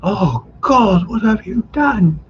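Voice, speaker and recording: A man voicing a frantic older mother who scolds and wails in a shrill, accusing voice. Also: expressive, measured pace, creaky, darkish tone, muffled recording, slight background noise, heavily acted. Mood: frantic scolding.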